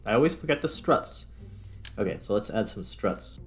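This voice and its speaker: A man's voice: lowish voice, animated, moderate pace. A man doing casual let's-play commentary, thinking aloud informally as he works through a game. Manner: informal, muttering to himself while working. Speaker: gaming video host, player. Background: background music.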